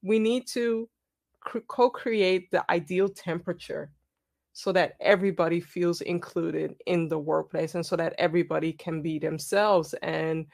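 Female moderator, lower voice, upbeat and affirming. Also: steady tone, normal speed, vocal fry, a little mellow. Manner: enthusiastic, affirming. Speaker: panel moderator. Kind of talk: moderating a panel.

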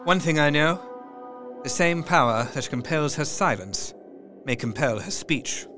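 A man's voice: a little high, animated, measured pace, over music. An actor playing an earnest Victorian doctor, narrating his diary in thoughtful, formal speech. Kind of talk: audio drama diary narration.

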